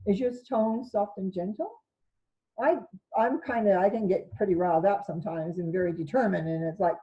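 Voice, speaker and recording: Loud-voiced woman teaching on a video call. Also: mid-range voice, lo-fi sound. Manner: rambling, self-correcting delivery. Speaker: spiritual teacher hosting an online session. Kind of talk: informal talk to an online group.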